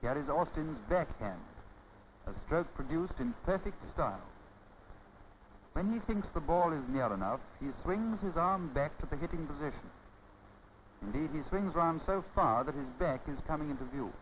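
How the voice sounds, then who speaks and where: A man narrating a 1930s instructional film from a script, formal and didactic, with measured phrasing. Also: on the high side, lively intonation. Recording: scratchy, muffled 1930s film soundtrack.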